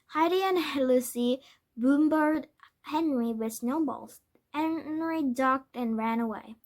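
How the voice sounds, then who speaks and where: A woman narrates a children's storybook in a high-pitched voice. Her pace is slow and halting, and she stumbles over words and corrects them as she goes. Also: darkish tone. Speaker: read-aloud storyteller. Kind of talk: scripted children's book narration.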